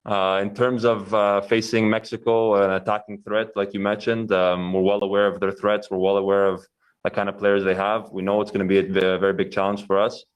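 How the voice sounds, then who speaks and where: A man's voice: on the low side, flat delivery, moderate pace, smooth. A young man, a goalkeeper answering press questions with many fillers and restarts.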